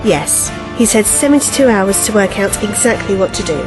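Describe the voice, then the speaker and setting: A woman's voice: mid-range voice, expressive, normal speed, over music, lightly acted. A woman playing a confident, matter-of-fact time-travel companion in a sci-fi audio drama. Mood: assured, calm.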